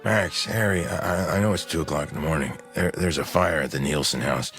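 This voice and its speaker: Small-town sheriff, very low voice, brisk, radio drama. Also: male voice, fairly bright tone, soft background music. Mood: urgent.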